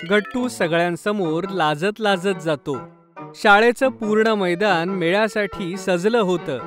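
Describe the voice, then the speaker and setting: A man's voice: sits high, a little slow, a bit bright, faint music behind. A man narrating a scripted children's story.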